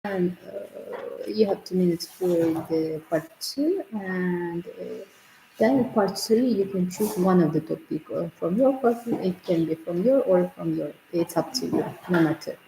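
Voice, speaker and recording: A woman's voice: on the low side, expressive, measured pace, vocal fry, warm tone. A woman examiner giving speaking exam instructions and prompting candidates in an encouraging way. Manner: encouraging, frequent backchannel "mm-hmm". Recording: echoey room with faint noise.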